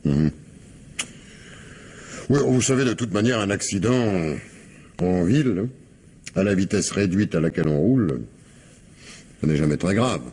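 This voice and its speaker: Sceptical male commissioner, mellow yet highly inflected, measured interrogator for radio drama. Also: lively intonation, breathy edge, heavily acted. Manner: questioning, dry, measured. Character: probing, sceptical, unhurried male police commissioner. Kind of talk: radio mystery drama acting.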